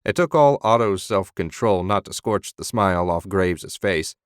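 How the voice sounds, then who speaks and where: A man narrating a fantasy audiobook in measured storytelling. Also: deep voice, lively intonation, smooth, dry recording, clean studio sound.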